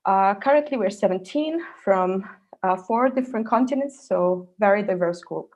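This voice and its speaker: A woman's voice: mid-range voice, moderate pace, a little mellow, lo-fi sound. A woman from Serbia, a research fellow in her thirties, giving an online scientific presentation with frequent fillers.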